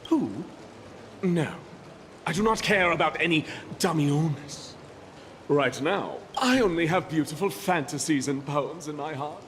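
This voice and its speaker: A man's voice: a little high, animated, a little slow, fairly bright tone, slight background noise. A man playing a lovestruck, theatrical poet in a video game, reciting in a florid, lyrical manner with long reverb. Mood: rapturous. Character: lovestruck, theatrical poetic dreamer.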